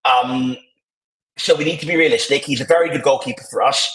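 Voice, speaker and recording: A man giving his views on a podcast, rambling and opinionated with lots of restarts, his voice a little croaky from tiredness, speaking into earbuds on a video call. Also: medium pitch, fairly quick, fairly bright tone. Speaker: podcast panelist. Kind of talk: casual podcast discussion. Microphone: AirPods on a video call. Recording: echoey, poor sound quality.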